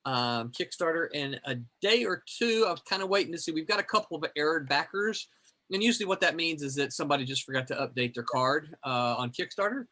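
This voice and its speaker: A man co-hosting a casual livestream chat, talking in a halting way with many hesitations. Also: on the high side, expressive, bright, forceful tone. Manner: halting, lots of filler hesitations. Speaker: co-host.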